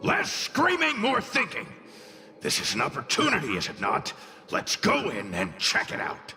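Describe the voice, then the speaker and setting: A man voicing a grumpy, boastful little male fire spirit in a video game, gravelly and scornful in a haughty, blustering way. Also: medium pitch, huge pitch swings, normal speed, bright, forceful tone, faint music behind, heavily acted. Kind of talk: video game character acting.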